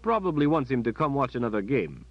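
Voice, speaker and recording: A man's voice: mid-range voice, sing-song, fast, dry recording, dull audio, pitched above the performer's own voice, lightly acted. Man playing a bluff, kindly guard captain. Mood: friendly. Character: kindly gruff palace guard captain, man, middle-aged.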